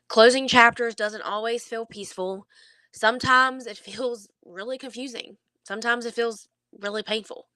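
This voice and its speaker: A woman speaks in a bright, clear voice with a slightly congested nose. She talks to the camera about faith in an earnest, reassuring and conversational way, often halting to find her words. The sound is dry, with very little room in it. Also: fairly high pitch, dry recording. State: stuffy nose, under the weather. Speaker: faith vlogger. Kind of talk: heartfelt devotional talk to camera.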